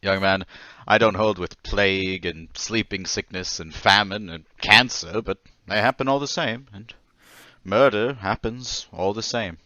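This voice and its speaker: An actor as a haunted, muddled older veteran in a radio drama. He stammers and hesitates in a low, tense voice, recorded close and dry. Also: unhurried, bright, forceful tone, no echo, dull audio. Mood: grimly bitter. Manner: stammering, hesitant, chuckles nervously. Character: nervous, befuddled, haunted older war veteran. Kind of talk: old-time radio play acting.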